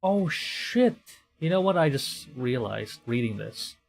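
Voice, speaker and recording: A man's voice: mid-range voice, very animated, a little slow, creaky, faint music behind. A man from the Philippines streaming a horror game, reacting with nervous swearing and stammered restarts. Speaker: VTuber streamer. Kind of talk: casual game-stream commentary.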